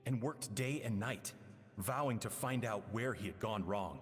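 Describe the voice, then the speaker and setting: An actor playing a regretful, grieving man in a video game, narrating in a solemn, wistful, measured way. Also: mid-range voice, fairly bright tone, natural voice. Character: regretful man, a pastry chef grieving his estranged friend. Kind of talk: dramatic game monologue, letter narration. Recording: long reverb with music underneath.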